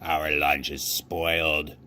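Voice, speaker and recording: A man's voice: rumbling low, little pitch movement, slow, pushed and bright, heavily acted. A man voicing an anxious, devoted toad from a children's storybook in an earnest, plaintive way. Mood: plaintive, sad.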